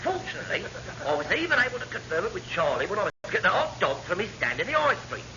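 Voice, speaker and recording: A crafty chief petty officer from a vintage radio sitcom. He tells his tales glibly and with mock innocence, in a high, very lively voice. The recording is dull and noisy. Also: quick pace, vocal fry, full character voice. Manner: glib, mock-innocent storytelling. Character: wily chief petty officer with endless dubious alibis. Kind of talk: vintage radio comedy acting.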